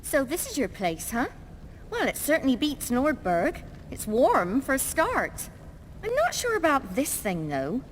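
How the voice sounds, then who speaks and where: Sharp-tongued young woman, high, lively, slow, music under. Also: a little hiss or hum, full character voice. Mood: curious. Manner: blunt, teasing. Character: feisty young woman, blunt and mocking. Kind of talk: video game cutscene acting. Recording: game audio with music behind.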